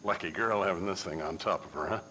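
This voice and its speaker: An actor playing a callous, wisecracking morgue examiner in a video game, flippant and cynical. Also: male voice, low-pitched, fast, sharp tone, slight background noise. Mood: wry, flippant. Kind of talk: video game cutscene acting.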